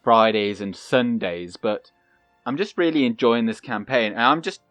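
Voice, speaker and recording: A young man giving calm, casual commentary over his own gameplay, soft-spoken and pausing often with fillers. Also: medium pitch, no room sound, faint music behind. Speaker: gaming channel host.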